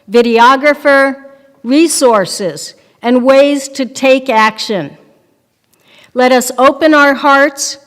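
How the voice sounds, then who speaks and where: An older woman presenting an event, reading a scripted introduction in a measured, formal way. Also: high voice, fairly bright tone. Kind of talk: scripted introduction read aloud. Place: synagogue sanctuary, microphone on stand. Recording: reverberant hall through podium microphone.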